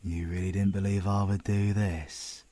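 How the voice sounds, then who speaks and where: A man's voice: deep voice, little pitch movement, moderate pace, dark tone. A British man playing a cocky, sarcastic, menacing supervillain in an audio roleplay. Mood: cold, threatening. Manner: sarcastic, theatrical, foul-mouthed British slang. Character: cocky, taunting supervillain, flippant yet menacing killer. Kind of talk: ASMR audio roleplay acting.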